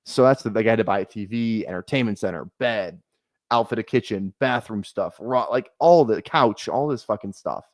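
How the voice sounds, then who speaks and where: A man's voice: on the low side, moderate pace, no room sound. A man in his twenties chatting casually on a fantasy football livestream, rambling, swearing often and laughing at himself. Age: 20s. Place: small apartment room.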